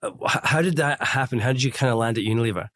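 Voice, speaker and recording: A man's voice: medium pitch, very fast. Chatty man hosting an interview podcast. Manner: chatty, warm, with many ums and restarts. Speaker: podcast host, recruiter. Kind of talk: conversational interview.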